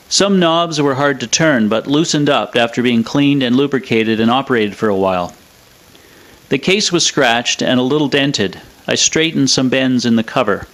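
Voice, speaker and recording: Measured man narrating. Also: medium pitch, fairly bright tone. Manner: measured, matter-of-fact. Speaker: presenter of a vintage radio restoration video. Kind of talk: scripted technical narration.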